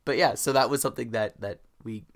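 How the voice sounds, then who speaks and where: A young man with a naturally loud voice, chatting on his podcast in a loose, rambling way. He fills pauses with "um" and "like" and breaks into laughter often. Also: medium pitch. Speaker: podcast co-host. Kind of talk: casual chat.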